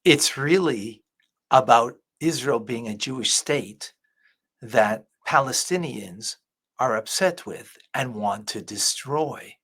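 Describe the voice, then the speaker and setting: Casual: rabbi guy doing reaction commentary, husky voice, preachy and earnest. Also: medium pitch, lively intonation, slow pace, a bit bright.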